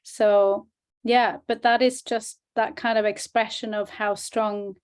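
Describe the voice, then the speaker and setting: A woman's voice: a little high, little pitch movement, takes it slowly, clean tone. A woman co-presenting a language question-and-answer session as a teacher, speaking in a chatty, informal, demonstrative way.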